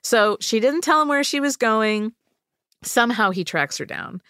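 Animated woman telling a story. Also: a little high, normal speed, clean tone, dry recording, clean studio sound. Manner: animated, jokey, mimics voices. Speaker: podcast host telling the story. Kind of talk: casual storytelling chat.

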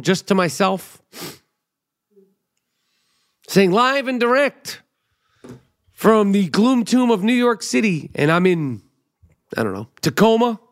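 A man hosting a podcast, rambling through a profane, self-mocking comedic monologue. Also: sits high. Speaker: podcast host.

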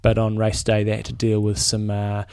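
A man's voice: lowish voice, completely flat delivery, moderate pace, creaky, a little mellow, clean tone, no echo, studio quality. A man with a New Zealand accent, a triathlon coach co-hosting a podcast, easygoing and rambling in casual chat. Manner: easygoing, rambling, restarts his sentences.

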